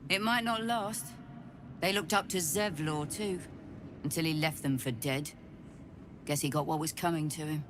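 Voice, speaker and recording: A woman voicing a blunt low-voiced refugee leader. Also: normal speed, long pauses, noisy background, natural voice. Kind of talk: video game voice acting.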